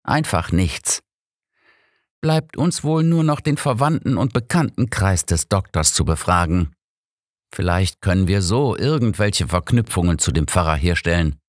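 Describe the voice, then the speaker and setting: A man's voice: low-pitched, expressive, measured pace, no echo. A man voicing a sober, wry old detective in an audiobook, dry and matter-of-fact. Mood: sober, brisk. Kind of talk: audiobook character acting.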